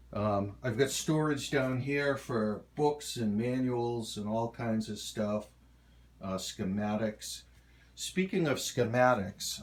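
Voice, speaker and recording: A middle-aged man giving a casual, halting show-and-tell as a hobbyist, unhurried and keen on the details. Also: mid-range voice. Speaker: hobbyist presenter of a radio collection.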